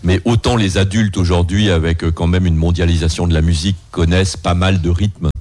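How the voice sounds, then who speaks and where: Brief: older man, radio interviewer, very deep voice, hesitant delivery. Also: fairly even intonation, a little fast, darkish tone. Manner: hesitant, many restarts and fillers. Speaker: radio host.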